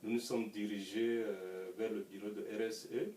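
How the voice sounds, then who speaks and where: A middle-aged man with a francophone African accent speaks in a fairly low voice. He answers an interviewer in a measured way, pausing to find words. The sound is distant and echoey, with faint noise behind. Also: fairly even intonation, dark tone. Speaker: interview guest. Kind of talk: recounting personal experience in an interview.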